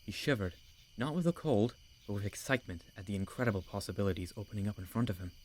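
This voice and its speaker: A man's voice: lowish voice, quick pace, a little mellow, rough recording. A man reading scripted story narration as the narrator of an audiobook.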